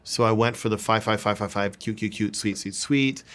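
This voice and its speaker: A man's voice: lowish voice, fairly even intonation, moderate pace, a bit bright. A man giving an informal sales coaching lesson, repeating words and phrases for emphasis. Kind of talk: informal instructional talk with demonstrations.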